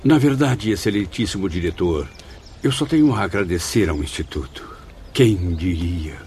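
Camilo, espírito estudioso de voz grave e expressiva. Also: male voice, low voice, normal speed, slight background noise. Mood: grateful, humble. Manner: formal, reverent, expressive. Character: Camilo, earnest, grateful male spirit student recounting his afterlife. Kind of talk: radio drama acting. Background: background music.

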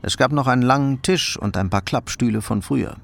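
Casting: male narrator for crime radio play, sober, gravelly, mid-pitched. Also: fairly quick. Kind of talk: scripted crime drama narration.

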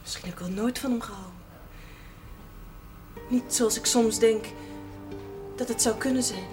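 A woman's voice: a little high, moderate pace, natural voice. An actress playing a resolute, earnest village woman in a television period drama, speaking solemnly and directly in short statements. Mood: resolute, sad. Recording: distant microphone, music behind, 1970s television.

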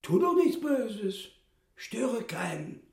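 A high, roomy voice playing a huge, cheeky tomcat in a radio play. He draws his words out slowly, self-important and theatrical to the last syllable. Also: big pitch swings, vocal fry, darkish tone, exaggerated voice. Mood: pompous, indignant. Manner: pompous, theatrically drawn-out delivery. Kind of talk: radio drama acting.

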